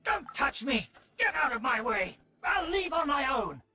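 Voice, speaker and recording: Pompous aristocratic uncle, wildly animated. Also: male voice, extremely high pitch, measured pace, soft background music, exaggerated voice. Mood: outraged. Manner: pompous, formal, theatrical. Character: haughty aristocratic uncle scheming to restore his clan's rule. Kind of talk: video game voice acting.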